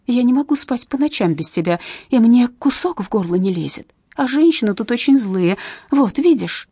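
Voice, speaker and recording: A woman narrator voicing a distraught imprisoned mother who pleads and flares up indignantly, her voice raised and higher than her own. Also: animated, moderate pace, mellow, husky, dry recording, clean studio sound. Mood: desperate. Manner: pleading, shrill outbursts.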